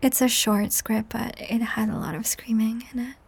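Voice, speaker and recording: A young woman hosting a whispered, ASMR-style chat podcast, rambling softly with giggles, her voice strained and cracked. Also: a little high, steady tone, moderate pace, gravelly, husky. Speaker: podcast host and audio creator. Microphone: high-end studio microphone.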